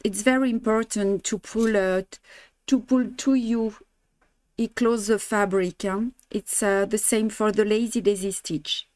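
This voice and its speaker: A woman's voice: medium pitch, steady tone, slow, darkish tone, dry recording. A woman in her fifties from France with a French accent, teaching embroidery live in a chatty way, laughing often and slipping into English and Spanish. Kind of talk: live-streamed stitching lesson. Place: indoor studio, blue backdrop.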